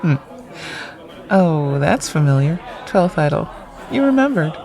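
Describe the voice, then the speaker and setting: Actress as a witty, creaky-voiced woman on a date. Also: sits low, very animated, a little slow, a little mellow, exaggerated voice, low-quality recording. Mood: pleased, playful. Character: witty, frank woman on a friendly first date. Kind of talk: audio drama dialogue.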